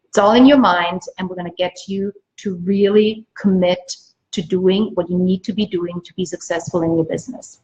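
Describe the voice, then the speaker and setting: A woman from Austria, a native German speaker, speaks as a business coach giving an emphatic, repetitive motivational pitch on a livestream. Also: fairly even intonation, moderate pace, darkish tone. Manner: emphatic, repeats phrases for stress. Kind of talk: motivational live sales pitch to viewers. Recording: dull lo-fi livestream audio.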